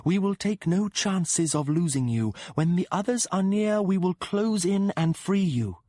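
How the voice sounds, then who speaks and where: High-pitched possessive dead woman, put on by a man, slow hushed menace, dry close sound. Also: animated, no echo. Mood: promising. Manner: soothing yet ruthless, slow and deliberate. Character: possessive, coldly loving dead woman spirit.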